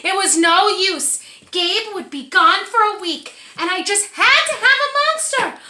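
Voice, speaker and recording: A woman reading a storybook aloud to preschoolers, encouraging and pausing to ask young listeners questions. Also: very high voice, lively intonation, pushed and bright. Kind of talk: animated children's storybook reading. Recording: echoey room.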